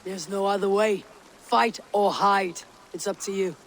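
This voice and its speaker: An actor playing a resolute, unsentimental Viking raider in a video game, speaking in a blunt, clipped manner. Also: male voice, high-pitched, steady tone, a little slow, fairly bright tone, a little hiss or hum. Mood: stern. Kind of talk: dramatic video game acting.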